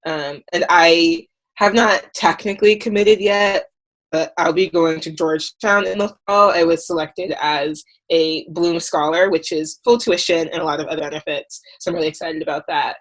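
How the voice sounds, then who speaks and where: A woman's voice: fairly low pitch, fairly even intonation, moderate pace. A woman in her twenties from Florida, introducing herself upbeat and casual on a panel. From Gainesville, Florida. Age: 20s.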